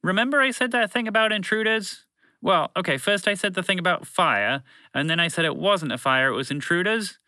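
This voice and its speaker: A man's voice: high voice, brisk, bright, forceful tone, clean tone, no echo, voice pushed up. A man voicing a bumbling, flustered alien announcer who rambles and corrects himself in a children's story. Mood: blustering warning. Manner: hesitant, rambling, self-correcting.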